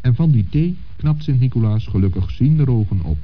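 A man's voice: mid-range voice, lively intonation, a little fast. A man narrating a scripted children's story as the narrator of a radio play. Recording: dull old radio play recording.

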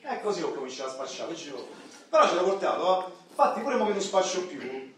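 A man performing a brash young Roman tough from the borgata, high-pitched and emphatic. He talks in boastful, confessional street slang full of rhetorical tags, heard from far off in a very echoey hall. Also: big pitch swings, quick pace. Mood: confessional, subdued. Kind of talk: theatrical monologue in street slang. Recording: echoey hall, distant mic, audience noise.

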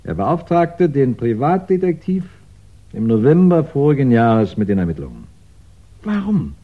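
An actor playing a courteous, probing police inspector in a 1960s radio crime drama, speaking deliberately with thoughtful pauses. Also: male voice, mid-range voice, very animated. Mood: questioning. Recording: dull, band-limited 1960s radio recording.

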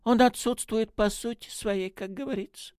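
A man voicing an excitable, apologetic little priest in an audiobook, with a flustered, gushing way of exclaiming. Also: high voice, moderate pace, dry recording, studio quality, voice pushed up, lightly acted. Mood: delighted, insistent. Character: excitable, apologetic, stubby little male priest with childlike wonder. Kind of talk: audiobook character acting.

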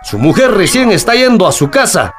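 A man's voice: high voice, very animated, brisk, soft background music. A man acting a sly, teasing old barkeeper in a radio drama, with a Peruvian accent and a singsong manner.

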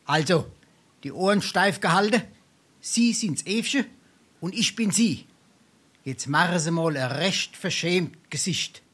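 An actor playing a roguish, boozy, pompous scrounger in a theatrical farce, speaking with a Darmstadt Hessian accent. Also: male voice, sits high, animated, measured pace, fairly bright tone, husky, heavily acted. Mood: coaching. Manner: pompous, florid, wheedling, declamatory. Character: roguish, boozy, grandiloquent male scrounger coaching his friend. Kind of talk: theatrical dialect farce acting.

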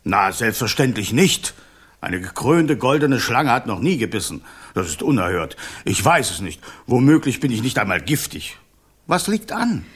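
An actor in a radio play voicing an enchanted golden snake king, courteous, pompous and easily indignant, with a husky, breathy voice. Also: medium pitch, very animated, normal speed, fairly bright tone. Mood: courteous, grand. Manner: theatrical, pompous, wheedling.

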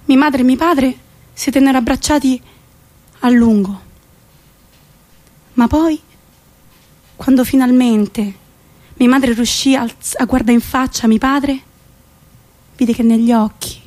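A woman's voice: a little high, steady tone, a little slow, long pauses, a little mellow. A woman delivering theatrical storytelling narration as a stage actress performing a solo play. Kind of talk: theatrical monologue narration in Italian.

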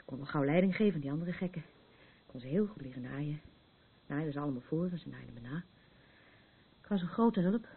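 An actress in a radio play, playing a troubled, battered woman who is anxious and rambles haltingly in defence of her abuser. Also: sits low, breathy edge, full character voice. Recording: muffled, phone-like narrow band.

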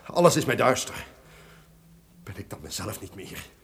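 An actor playing a tyrannical, haunted and wrathful duke in an old radio drama, declaiming melodramatically. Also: male voice, lowish voice, expressive, normal speed, gravelly. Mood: haunted, shaken. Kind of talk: old radio play acting. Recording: noisy old radio recording.

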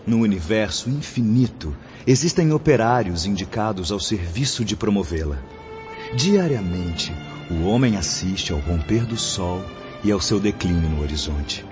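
An actor with a Brazilian accent playing a wise, solemn spiritual instructor in a radio drama, speaking in measured, declamatory phrases. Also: male voice, on the low side, expressive, natural voice. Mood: solemn, reverent. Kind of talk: radio drama acting, didactic oration. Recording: dull lo-fi audio under music.

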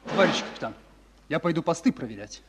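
Husky, animated soldier. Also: male voice, a little high, fast, in a large hall. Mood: dutiful, urgent. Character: genial subordinate soldier, lively and husky. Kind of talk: wartime radio drama acting.

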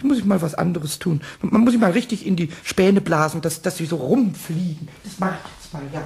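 A man voicing a small mischievous, childlike kobold with a high, squawky voice, chattering excitably in a children's radio play. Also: brisk, gravelly, breathy edge, full character voice. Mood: playful, mischievous. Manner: chattering to himself, giggly, singsong.

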